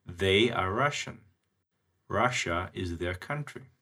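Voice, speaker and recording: A man with a lowish but bright-toned voice teaches a language lesson. He speaks very slowly and deliberately, spacing out each word and example sentence. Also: animated. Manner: very slow, deliberate, clearly enunciated teaching delivery. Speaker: English teacher.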